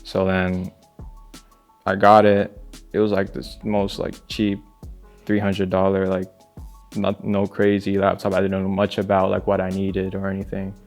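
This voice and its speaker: A man's voice: deep voice, monotone, a little slow, gravelly, darkish tone, soft background music. A young man who grew up in Spain, telling his story in an interview in a loose, rambling way full of "like" fillers. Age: young adult. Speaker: music producer.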